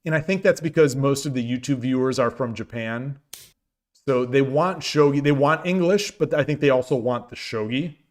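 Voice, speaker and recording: A man chatting casually on a shogi live stream, reading viewers' messages aloud, his voice getting dry and hoarse from long talking. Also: mid-range voice, moderate pace. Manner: rambling, many restarts, reads chat aloud.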